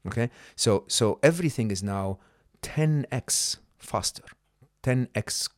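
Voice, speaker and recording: A man's voice: medium pitch, lively intonation, slow, no room sound, studio quality. A middle-aged man whose first language is not English, a podcast guest explaining ideas emphatically and often checking in with the listener. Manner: emphatic, checks in with "okay?" and "hmm?". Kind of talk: conversational coaching talk.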